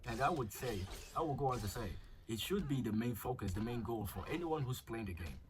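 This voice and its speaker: A man's voice: medium pitch, animated, fairly quick, background noise. Chatty man with a flu. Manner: chatty, crude jokes, thinking aloud. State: slightly sick with flu. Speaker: mobile game YouTuber. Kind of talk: casual gameplay commentary.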